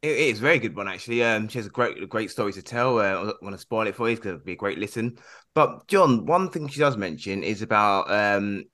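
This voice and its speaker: Upbeat low-voiced male podcast host. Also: expressive, fairly quick, pushed and bright. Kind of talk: casual podcast chat.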